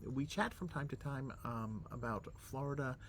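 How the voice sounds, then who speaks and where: A man's voice: mid-range voice, animated, moderate pace, fairly bright tone, slight background noise. A man co-hosting a casual podcast with his wife, dry and teasing in manner. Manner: dry, teasing, reads lyrics aloud. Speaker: podcast co-host. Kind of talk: casual chat.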